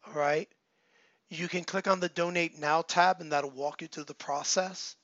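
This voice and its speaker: An earnest man pastor, speaking a little high, leans on repeated phrases and frequent ums. His voice is still rough from a recent cold. The recording is dry and somewhat muffled. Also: fairly even intonation, normal speed, a bit bright, dry recording. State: recovering from a cold, voice not fully back. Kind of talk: online church service, announcements and appeals.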